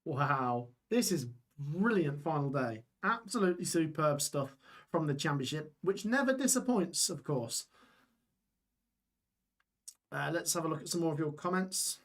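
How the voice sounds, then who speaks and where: A man's voice: on the high side, animated, a little slow, fairly bright tone. Chatty man calling live scores. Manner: chatty, reads scores aloud, reacts to chat. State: voice going hoarse. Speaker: live stream host. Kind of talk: live football watch-along commentary.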